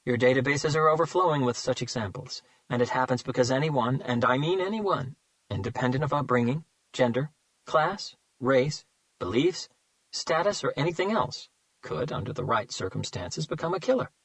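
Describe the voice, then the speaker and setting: A man's voice: medium pitch, animated, a bit bright, dry recording, rough recording. A man voicing a smug male serial killer who mocks and savors his words, in an audiobook reading. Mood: smug, taunting. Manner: mocking, slow and savoring.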